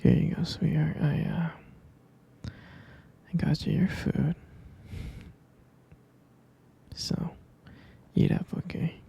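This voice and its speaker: Man playing a husky whispering, doting boyfriend. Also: fairly high pitch, steady tone, dark tone, lo-fi sound, heavily acted. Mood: sleepy, caring. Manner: slow, hesitant, affectionate murmuring with pet names. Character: tender, doting vampire-romance boyfriend soothing partner to sleep. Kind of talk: ASMR roleplay.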